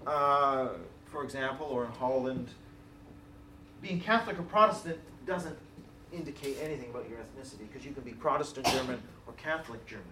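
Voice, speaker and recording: A man's voice: medium pitch, pushed and bright. A man giving a university lecture in a conversational way, thinking aloud with fillers and restarts. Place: lecture room. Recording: roomy hall recording from far off, faint hiss.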